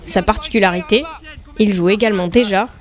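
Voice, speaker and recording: A woman's voice: medium pitch, fairly even intonation, brisk. A woman interviewer reading prepared introductions and questions.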